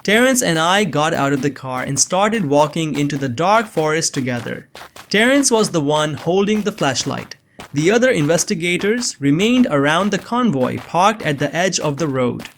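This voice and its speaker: A man's voice: a little high, sing-song, moderate pace, background noise. A man playing an uneasy, earnest young man who narrates his own suspense story in a visual novel. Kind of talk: first-person scripted visual novel narration and dialogue.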